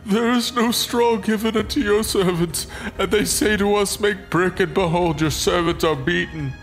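Man acting high-voiced pleading slave foreman. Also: moderate pace, a bit bright. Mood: aggrieved pleading. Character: beaten, pleading male Hebrew slave foreman. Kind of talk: dramatized scripture audiobook. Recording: music behind the voice.

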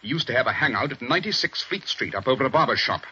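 An actor playing a stern middle-aged police chief inspector, in a 1940s radio drama, husky and clipped. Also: male voice, mid-range voice, very animated, rapid-fire, pushed and bright, full character voice. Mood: grave. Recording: dull old radio broadcast.

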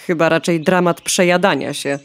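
A woman's voice: on the low side, fairly even intonation, moderate pace, close to an everyday voice. A woman voicing a vain, flippant, rather dim gossipy hen in a children's audio play, sassy and offhand.

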